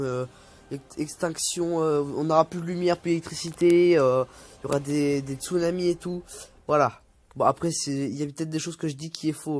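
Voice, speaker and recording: A man's voice: fairly high pitch, a little mellow. Hesitant young man reading an article aloud. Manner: hesitant, many fillers and stumbles while reading. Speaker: youtuber.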